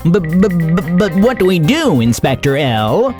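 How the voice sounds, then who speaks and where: A man's voice: sits high, big pitch swings, normal speed, a little mellow, soft background music. A man voicing a timid, agreeable crocodile police sergeant in a children's cartoon, hesitant and prone to stammering. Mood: nervous.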